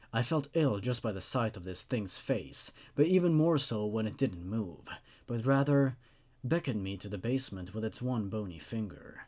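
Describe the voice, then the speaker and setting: A man narrates a scripted first-person horror tale. His voice sits at an average pitch but moves widely up and down. The delivery is measured and dramatic, that of a storyteller reading aloud. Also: a little mellow.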